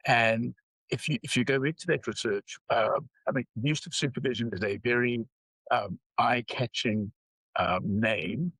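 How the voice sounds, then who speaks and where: An older man with a South African accent, speaking as an interview guest in a measured way with frequent pauses. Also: mid-range voice, a bit bright, breathy edge, no echo, low-quality recording. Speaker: guest psychology researcher. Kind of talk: interview conversation.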